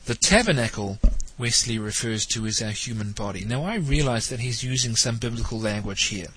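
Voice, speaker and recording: Host: New man, congested nasal tone, bright edge, wry and probing commentary. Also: medium pitch, fairly quick, husky, rough recording. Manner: wry, questioning, lightly teasing. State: nasal, stuffed up from hay fever. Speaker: talk show host. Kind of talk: spoken theology commentary monologue.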